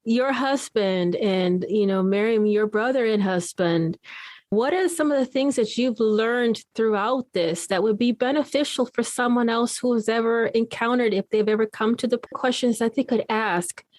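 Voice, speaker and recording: A woman's voice: fairly high pitch, normal speed, a little mellow, dry recording. A woman hosting a podcast, effusive and a bit rambling as she asks questions. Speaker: podcast host. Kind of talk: casual podcast interview.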